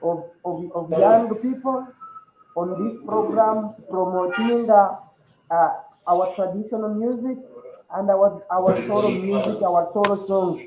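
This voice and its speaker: A Ugandan man with a Ugandan accent hosting a community broadcast, halting and full of fillers and repeated words. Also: sits high, echoey room, highs cut off, crowd noise. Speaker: host. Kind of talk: diaspora community broadcast, mixing Rutooro and English.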